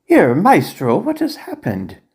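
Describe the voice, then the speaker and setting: An actor playing an obliging, anxious manager of a Victorian opera company in a farcical audio drama, with a fussy, eager manner. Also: male voice, on the high side, huge pitch swings, a little slow, vocal fry, a little mellow, slightly rough, exaggerated voice. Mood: flustered. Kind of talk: period farce audio drama acting.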